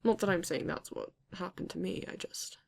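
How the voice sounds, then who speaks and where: A woman playing a courteous, bashful vampire in an intimate ASMR roleplay, speaking haltingly and formally with a gravelly, creaky voice. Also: on the low side. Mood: defensive, explaining. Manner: hesitant, halting, formal yet endearing. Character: courteous, bashful vampire living alone in a castle.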